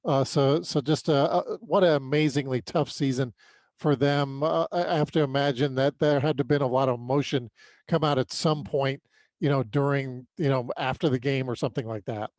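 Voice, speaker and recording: A man with a mid-pitched voice talks through sports results as a podcast co-host. His delivery is conversational and a little halting, with repeated words as he lays out the analysis. The sound is dry, with very little room. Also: a little mellow, no room sound. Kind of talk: unscripted sports recap commentary.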